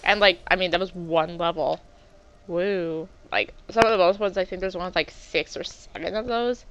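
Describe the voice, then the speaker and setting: A woman's voice: fairly low pitch, normal speed, a bit bright, highs cut off, a little hiss or hum. A woman with a sore throat, hosting a let's play in chatty, rambling, casual gameplay commentary.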